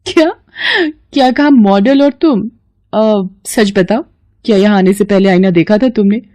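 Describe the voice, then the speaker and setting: A woman voicing a haughty female fashion house manager in an animated story, condescending and mocking. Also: mid-range voice, moderate pace, dry recording, close to an everyday voice. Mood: scornful.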